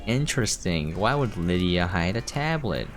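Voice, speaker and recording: A man hosts a game preview in a low voice. He comments casually as he plays. Music is clearly audible behind him. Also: animated, normal speed.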